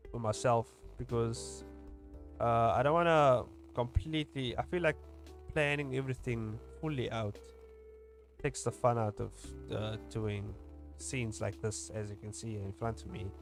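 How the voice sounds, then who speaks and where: A man's voice: medium pitch, steady tone, soft background music. Rambling South African man streaming. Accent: South African English. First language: English. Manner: rambling, halting, many fillers and restarts. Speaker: webcomic streamer. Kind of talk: casual livestream chat while drawing.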